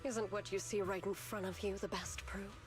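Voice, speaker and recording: A woman acts out a cold, devout villainess from a video game. Her voice sits at a middle pitch, solemn and haughty, with measured phrasing. Music plays clearly behind her. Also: flat delivery, natural voice. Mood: reverent, persuasive. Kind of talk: scripted game voice acting.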